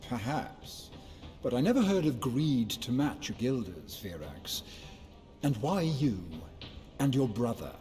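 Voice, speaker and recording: A sardonic, menacing figure in a sci-fi game. His dark, mellow voice moves slowly with wide swings of pitch, in long reverb. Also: very animated. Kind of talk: sci-fi game cutscene acting. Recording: long hall reverb, faint music.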